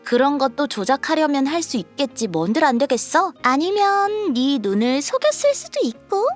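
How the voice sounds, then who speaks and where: A woman's voice: high-pitched, sing-song, moderate pace. A woman voicing a jealous young woman who mocks her friend, with teasing laughs, in an audio drama with light background music. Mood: taunting.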